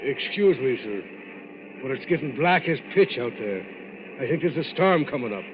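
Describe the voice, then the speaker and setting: A man's voice: medium pitch, lively intonation, moderate pace, natural voice. Man acting tough bodyguard, tinny noisy radio sound. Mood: questioning, wary. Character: eager, tough, respectful Irish-named bodyguard with a gun. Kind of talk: 1940s radio thriller acting. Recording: tinny vintage radio recording with noise.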